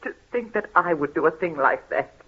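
An actress playing a frightened, tormented heiress in a 1930s gothic radio mystery, with halting, dramatic delivery. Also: female voice, low-pitched, expressive, breathy edge. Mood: haunted, subdued. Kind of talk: 1930s old time radio acting. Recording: muffled, scratchy 1930s radio recording.

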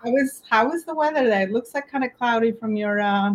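A woman's voice: a little high, a little fast. Upbeat Albanian-accented woman hosting a show. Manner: enthusiastic, repeats "amazing". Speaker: podcast host. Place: home office in New York.

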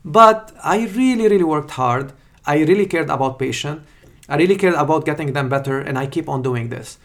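A middle-aged man with a Lebanese accent giving earnest, emphatic advice as an interview guest, often repeating phrases for weight. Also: fairly high pitch. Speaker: podcast guest, surgeon and professor. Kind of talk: interview answers with personal anecdotes and advice.

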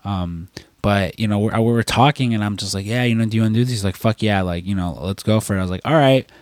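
A man's voice: lowish voice, fast, no room sound. Rambling young man with a stuffy cold. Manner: rambling, heavy fillers and swearing. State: congested from a cold. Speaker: podcast co-host. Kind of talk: casual chat.

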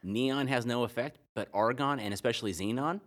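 A man's voice: mid-range voice, a little fast. A man co-hosting a podcast, a teacher who teases his partner and explains science facts. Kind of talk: casual chat.

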